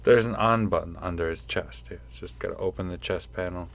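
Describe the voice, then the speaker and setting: An actor playing a bumbling, proud scientist with halting, stammering delivery in a comedic radio play. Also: male voice, low voice, vocal fry. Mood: flustered. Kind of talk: comedic radio theatre acting.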